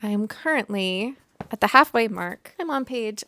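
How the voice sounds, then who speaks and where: A woman's voice: mid-range voice, expressive, normal speed, bright, forceful tone, a little hiss or hum. Playful, chatty woman book vlogger. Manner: playful, self-mocking and chatty. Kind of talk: casual book chat vlog.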